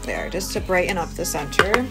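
A woman's voice: lowish voice, huge pitch swings, moderate pace, vocal fry. A woman in her thirties giving a casual, chatty makeup tutorial, explaining informally as she works. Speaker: beauty vlogger. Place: small cabin room by a window. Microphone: phone at arm's length. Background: music.

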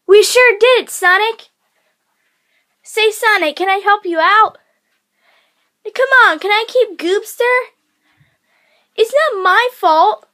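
An eager little cartoon fox boy, acted out by a woman, with a very high, childlike voice. He is innocent and excitable, exclaiming like a keen young sidekick. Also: takes it slowly, pauses often, heavily acted. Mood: eager, pleading. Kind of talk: cartoon character audition.

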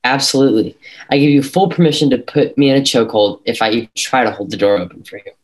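Teen schoolboy, awkward but insistent, mid-pitched young male actor, video call table read. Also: fast, lightly acted, low-quality recording. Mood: casual, teasing. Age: young adult. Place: room at home.

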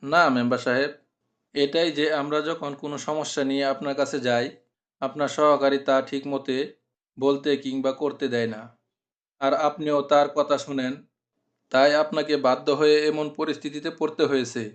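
A man's voice: medium pitch, steady tone, moderate pace, natural voice. A man playing a blunt, outspoken village uncle in a cartoon. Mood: respectful. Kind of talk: cartoon dialogue.

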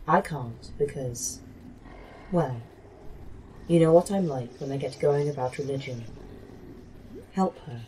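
A woman playing a polite, formal A.I. in a sci-fi horror audio drama, measured and deadpan. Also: a bass voice, slight background noise. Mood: insistent. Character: polite, literal-minded A.I. of secret facility. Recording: long hall reverb, faint background ambience.

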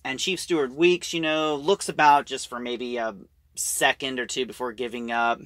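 A man giving a casual, halting recap of a story as a reviewer, in an informal way. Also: medium pitch, steady tone, fairly bright tone, no room sound.

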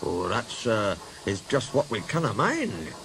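A man's voice: lowish voice, huge pitch swings, measured pace, noisy background, full character voice. A man voicing a sly, mock-innocent twin steam engine with a Scottish accent in a children's story. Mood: pained innocence.

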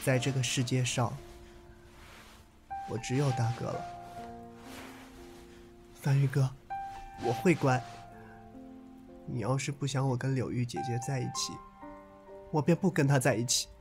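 A man playing a gentle, devoted young man in a radio drama, earnest and submissive, with slightly old-fashioned wording. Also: medium pitch, steady tone, unhurried, pauses often, music in the background, natural voice. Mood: sad, tender.

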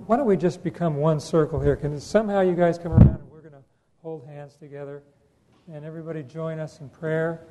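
A man's voice: on the high side, mellow, slight background noise, rough recording. An older man, a pastor, introducing a group and leading prayer in a church sanctuary with a handheld mic, in a jovial, joking way.

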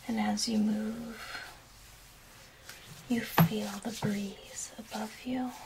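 A woman's voice: mid-range voice, little pitch movement, extremely slow. A woman in her thirties whispering breathily in a soothing, instructive ASMR massage role play. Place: small indoor room. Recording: clearly noisy, with rubbing sounds.

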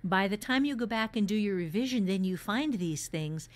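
A woman's voice: mid-range voice, expressive, normal speed. A woman co-hosting a writing podcast, teaching conversationally and emphatically, acting out questions as she explains. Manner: emphatic, dramatizes imagined questions.

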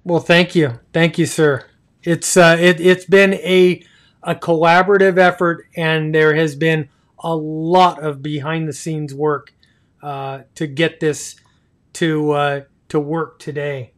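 A middle-aged man hosting a live video chat, courteous and halting as he talks with his guests. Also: fairly high pitch, flat delivery. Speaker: live show host. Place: small home office, indoors.

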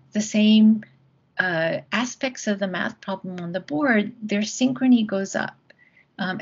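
A woman's voice: mid-range voice, breathy edge. A woman giving an academic lecture over a video call, talking with many ums and restarts. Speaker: guest lecturer. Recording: dull lo-fi video call audio.

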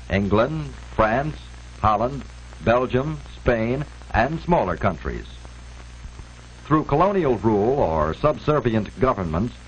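A man delivering scripted 1940s-style documentary narration in a formal, emphatic way. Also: mid-range voice. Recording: dull, noisy old archival film soundtrack.

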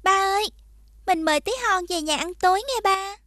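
A woman voicing a kind-hearted little peasant girl in an animated fairy tale. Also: very high-pitched, normal speed, no room sound, studio quality. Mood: kind, curious.

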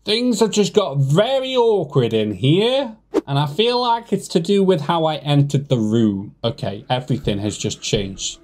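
A young man giving casual, animated horror game commentary in a nervous, joking ramble. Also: a little high, unhurried. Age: young adult. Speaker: gaming video host. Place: small room with foam panels at home. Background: eerie game music with organ.

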